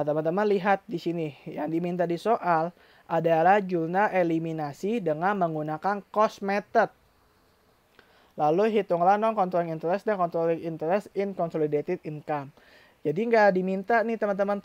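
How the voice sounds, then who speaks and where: A woman's voice: fairly low pitch, darkish tone, no echo. A woman with an Indonesian accent giving an informal step-by-step accounting tutorial, addressing learners directly and often asking questions that she then answers herself. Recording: dull, lo-fi close dry recording.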